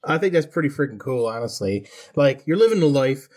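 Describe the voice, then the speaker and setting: A man's voice: mid-range voice, big pitch swings, a little fast, darkish tone. A man, hosting a podcast interview in a chatty, folksy, joking way. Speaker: podcast host. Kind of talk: casual conversational interview.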